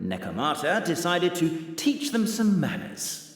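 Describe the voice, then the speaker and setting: A man's voice: on the high side, wildly swooping pitch, a little slow, heavily acted. A male actor playing a wry, dramatic storybook narrator in a video game, with echoey reverb and music behind.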